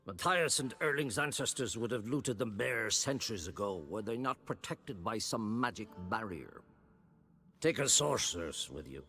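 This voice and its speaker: An actor playing a grumpy, sardonic old druid in a video game cutscene, speaking in archaic, wry, disdainful phrases. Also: male voice, lowish voice, lively intonation, moderate pace, bright, forceful tone. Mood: grumbling.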